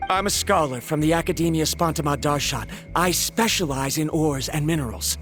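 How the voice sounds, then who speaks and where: A woman playing an eager, treasure-hungry mineral scholar in a video game, excitable and given to lecturing. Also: low voice, normal speed, over music, natural voice.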